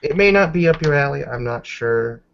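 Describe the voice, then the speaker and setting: A man's voice: a little high, expressive, moderate pace, gravelly, a bit bright. A man voicing a polite, considerate woman who explains things apologetically in a tabletop roleplaying game. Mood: polite, explanatory. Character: polite, considerate woman hosting two newlyweds. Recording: dull muffled lo-fi audio.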